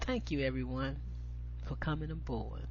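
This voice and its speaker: An American woman with a husky voice, hosting and reading spoken-word poetry with an emphatic, deliberate delivery. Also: very deep voice, darkish tone, a little hiss or hum. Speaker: show host and poetry reader. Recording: dull lo-fi audio.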